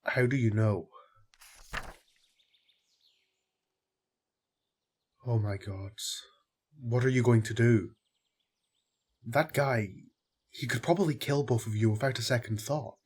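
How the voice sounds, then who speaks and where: Husky, earnest tavern worker played by a man. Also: medium pitch, moderate pace, a little mellow, natural voice. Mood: alarmed, wary. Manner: casual, earnest. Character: concerned, friendly young tavern worker man. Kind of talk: audio roleplay acting.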